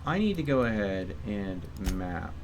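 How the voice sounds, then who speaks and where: A man's voice: fairly low pitch, big pitch swings, a little slow, slight background noise. A man giving casual live commentary as he streams a game, musing to himself as he goes.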